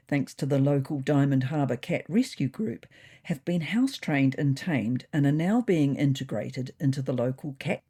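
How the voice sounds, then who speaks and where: A woman's voice: deep voice, mellow, breathy edge. A woman narrating a scripted comic diary story for a fiction podcast, with wry, dry understatement.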